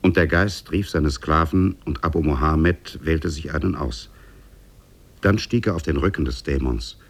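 A man narrating a tale in a measured, formal manner for a 1950s German radio drama. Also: very deep voice, slightly rough.

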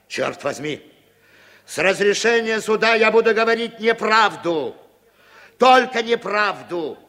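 A man's voice: high voice, bright, forceful tone, exaggerated voice. A man acting a cowardly, hard-drinking playwright in a 1960s Soviet radio drama, theatrical, sarcastic and full of self-contempt. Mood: bitter, frenzied. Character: cowardly, bitter, hard-drinking male playwright turning informer. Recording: reverberant 1960s radio play recording.

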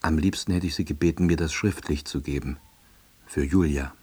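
A man's voice: very deep voice, brisk, darkish tone, slight background noise. An actor playing an earnest, awkward, self-important salesman, narrating his life drily and wordily in a radio drama. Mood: wry, earnest. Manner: dry, wordy, officialese.

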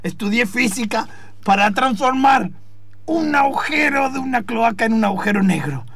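Man doing a raspy, high-strung, aggrieved villain. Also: expressive, moderate pace, fairly bright tone, no room sound, full character voice. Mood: tearfully bitter. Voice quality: raspy, strained. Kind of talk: improvised radio comedy acting.

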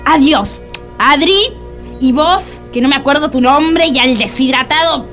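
A woman's voice: sits high, unhurried, a bit bright, soft background music. A woman playing a flighty, dramatic young woman in a comedic radio drama, with a theatrical, emphatic delivery. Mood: huffy, dramatic.

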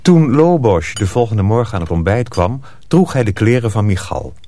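A man narrating a radio drama in a measured, scripted storytelling manner. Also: fairly low pitch, big pitch swings, dark tone.

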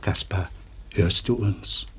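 A man's voice: deep voice, slow, noisy background. An actor in a radio play playing a sober, concerned man with a solemn, restrained manner. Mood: hushed, concerned. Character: sober, concerned man keeping watch at a deathbed. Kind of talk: German radio play acting.

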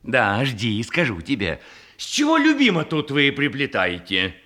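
Bright, wildly swooping, blustering hussar. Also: male voice, medium pitch, huge pitch swings, unhurried, heavily acted. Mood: evasive bluster. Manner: blustering, hearty, exclamatory. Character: boastful, jolly hussar nephew, blustering military man. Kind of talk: theatrical verse comedy radio play acting.

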